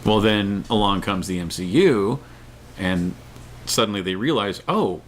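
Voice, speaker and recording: A man's voice: fairly low pitch, animated, a little slow. A man co-hosting a casual, opinionated discussion, emphatic and repeating words for stress. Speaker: talk show co-host. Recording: noticeable background noise.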